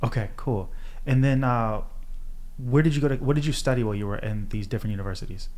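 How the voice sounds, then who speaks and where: Guy hosting a podcast, talks quick, casual interview questions, mid-range voice. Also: mid-range voice, darkish tone. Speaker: podcast host.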